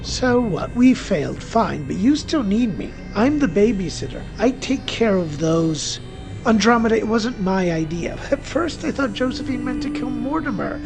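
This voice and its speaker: A man's voice: high-pitched, sing-song, normal speed, gravelly, over music, voice pushed up, full character voice, poor audio. A man voicing a cornered, treacherous old woman in a horror story, defiant and pleading. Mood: defiant bargaining.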